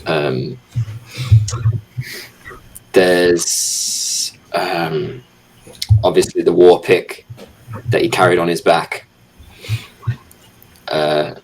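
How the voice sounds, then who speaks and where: A British man improvising descriptions as a player in a tabletop roleplaying show, hesitant and measured. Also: low voice, flat delivery, a little hiss or hum, rough recording. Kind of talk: improvised description of a character's belongings.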